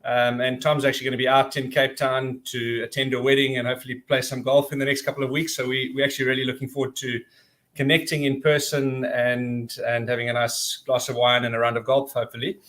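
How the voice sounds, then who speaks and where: A man's voice: mid-range voice, a bit bright. A man in his forties with a South African accent, presenting in a friendly, chatty way on a video call webinar. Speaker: guest presenter.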